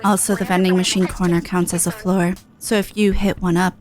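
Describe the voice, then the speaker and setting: Woman as a deadpan, lower-voiced game guide. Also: brisk, close to an everyday voice. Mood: matter-of-fact.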